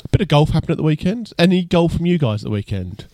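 A man's voice: fairly high pitch, very animated, fast, dark tone, dry recording, studio quality. An English man hosting a golf podcast, teasing his co-hosts in casual chat while getting over a cold. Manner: teasing, bantering. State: has a cold. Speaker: podcast host.

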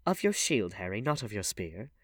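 A man voicing a quick-witted, teasing young-sounding duchess with light, refined banter in a novel reading. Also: fairly low pitch, sing-song, dry recording, clean studio sound. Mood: playful challenge.

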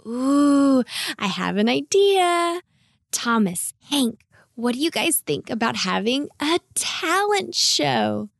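A woman voicing an eager, caring, cheerful young girl in a children's storybook, expressive and enthusiastic. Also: fairly high pitch, slow, gravelly, dry recording, clean studio sound, pitched above the performer's own voice, full character voice. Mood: excited. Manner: bright-spirited, expressive, enthusiastic.

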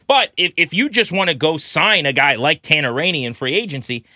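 A man with a forward, bright voice talks as a sports podcast co-host. He is opinionated and conversational, in a loose, casual style. The sound is very dry, with no room around it. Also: fairly high pitch, animated, a little fast, no echo. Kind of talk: casual baseball talk.

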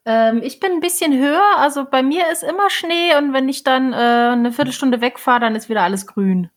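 Woman, podcast co-host, fairly high pitch, casual conversation. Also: fairly quick.

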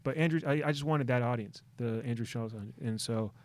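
Podcast host, male, mid-pitched, animated and slangy, dry close sound. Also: no echo. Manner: animated storyteller, slangy, does quick voices. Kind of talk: casual chat.

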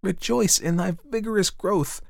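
A man voicing the sunbeams in a storybook, slow and solemn in a high voice. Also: fairly even intonation, creaky, dry recording, studio quality.

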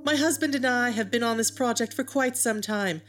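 A dry, insistent archaeologist wife acted by a woman in an audio drama. Her pitch is a little high. She comes across as stubborn, a practised bickerer. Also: normal speed, a bit bright, faint music behind, natural voice. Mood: courteous.

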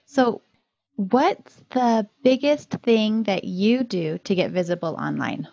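A woman's voice: medium pitch, slow pace, gravelly, dark tone, no room sound. A woman hosting an interview podcast, reading a scripted intro and asking questions. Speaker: podcast host. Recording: dull dry recording with faint music.

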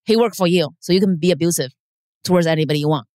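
A woman's voice: lowish voice, very fast, warm tone, dry recording, studio quality. A woman in her thirties from China with a Chinese accent, a comedian talking frankly on a podcast with many hesitations. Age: 30s. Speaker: comedian guest. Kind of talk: casual podcast chat.

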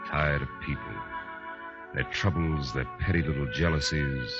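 An actor playing a wry, world-weary private detective in 1940s radio noir style. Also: male voice, rumbling low, normal speed, loud music behind the voice. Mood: weary, reflective. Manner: wry, familiar, hard-boiled. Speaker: lead actor and narrator. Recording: dull 1940s radio recording.

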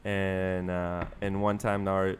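Low-voiced man. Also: flat delivery, takes it slowly, clear, resonant tone. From Bulgaria. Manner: chatty storyteller, many fillers and laughs. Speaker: guest musician. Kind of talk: casual interview chat.

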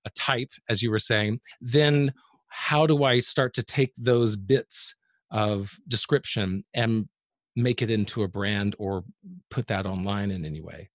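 A man with a baritone voice hosting a conversational interview, asking friendly questions that start and restart as he thinks them through. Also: steady tone, measured pace, no room sound. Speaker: podcast host and actor.

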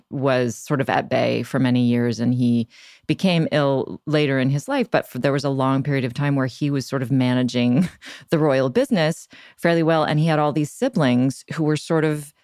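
A woman's voice: extremely low pitch, fairly even intonation, normal speed, darkish tone, no echo, studio quality. A woman hosting a podcast, asking thoughtful, wandering questions in a conversational interview style.

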